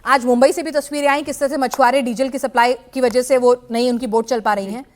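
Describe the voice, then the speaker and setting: Brisk high-voiced woman news anchor. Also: fairly even intonation, darkish tone. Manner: firm, keeps cutting in to restore order. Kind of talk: moderating a heated TV debate.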